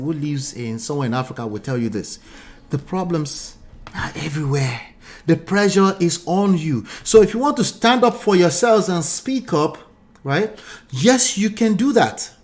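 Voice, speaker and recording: A man's voice: a little high, a little mellow. Nigerian man reacting emphatically to comments. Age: 40s. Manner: emphatic, repeats points, asks pointed rhetorical questions. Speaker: relationship commentary host.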